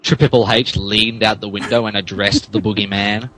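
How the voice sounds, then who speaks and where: A man's voice: on the low side, little pitch movement, moderate pace, pushed and bright, rough recording. A man reading out the narration of a fan fiction story in a mock-dramatic style. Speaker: podcast co-host reading the narration.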